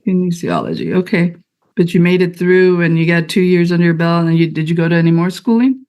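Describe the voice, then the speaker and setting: A woman's voice: lowish voice, lively intonation, brisk, darkish tone. A woman judge in a courtroom questioning a father directly and probingly about his background.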